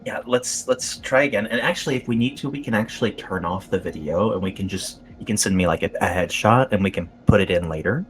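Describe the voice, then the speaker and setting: A young man hosting a podcast, relaxed and friendly as he interviews a guest. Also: fairly low pitch, fast, few pauses, a little hiss or hum, poor audio. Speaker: podcast host. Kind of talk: casual interview.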